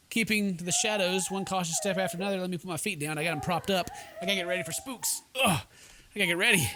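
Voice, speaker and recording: A man's voice: high-pitched, background noise. A man hosting a game playthrough, goofy and theatrical, mixing commentary with dramatic read-aloud narration. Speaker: gaming video host.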